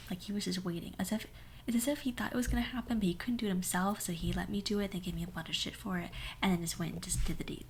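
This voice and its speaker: A young woman with a hoarse voice telling a sassy, dramatic story in a soft whisper. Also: medium pitch, a little hiss or hum. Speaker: ASMR storyteller. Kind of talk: whispered ASMR story time.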